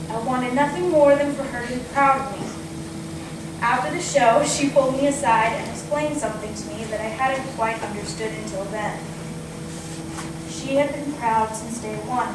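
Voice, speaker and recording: A young woman reading a prepared, heartfelt eulogy to a gathering, earnest with touches of dry humour. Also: a little high. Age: young adult. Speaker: niece giving a memorial tribute. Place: indoor memorial gathering at an acting studio. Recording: very echoey, distant, noisy room recording.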